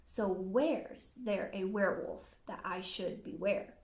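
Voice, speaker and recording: A woman's voice: mid-range voice, slow, darkish tone, echoey room, pitched below the performer's own voice. A woman voicing a big, menacing, sceptical male wolf in a children's storybook. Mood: menacing, questioning. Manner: snarling, skeptical. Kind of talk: children's storybook read-aloud.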